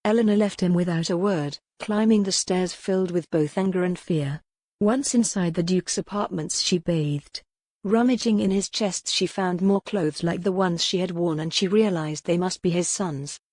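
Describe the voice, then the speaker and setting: A woman reading a historical romance audiobook in a measured, dramatic storytelling manner. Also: on the low side, darkish tone, dry recording. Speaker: audiobook narrator. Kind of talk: scripted fiction narration, historical romance.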